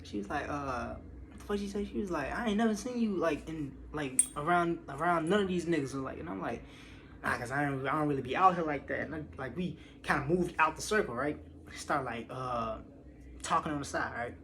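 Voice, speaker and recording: A man's voice: a little high, brisk. A young American man casually telling a personal story in slangy, expletive-heavy speech, acting out what people said. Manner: animated slangy retelling with acted-out quotes, swearing freely. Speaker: online storyteller. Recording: clearly noisy background.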